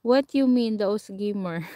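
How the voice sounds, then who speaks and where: A woman's voice: mid-range voice, slow pace, warm tone, no echo. A woman from the Philippines with a Filipino accent, hosting a casual livestream and chatting with her viewers, answering their comments and laughing often. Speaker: livestream host. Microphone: camera or phone mic set a short way off.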